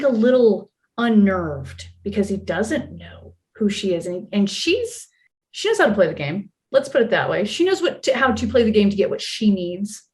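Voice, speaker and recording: A woman's voice: medium pitch, a little mellow. A woman interview guest talking casually and reflectively, with thoughtful emphasis on key words.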